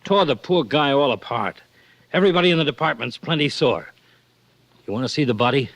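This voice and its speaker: Radio crime-drama cop: medium pitch, grim, earnest, plain-spoken, feels for the victims. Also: male voice, lively intonation, fairly quick, a bit bright. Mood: grim concern. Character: grim, sympathetic police department man. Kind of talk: 1940s radio acting.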